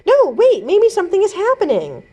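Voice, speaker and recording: A woman reading the lines of a bright, eager fifth-grade girl in a storybook, confident and excitable. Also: very high-pitched, normal speed, voice pushed up, exaggerated voice. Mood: excited, urgent.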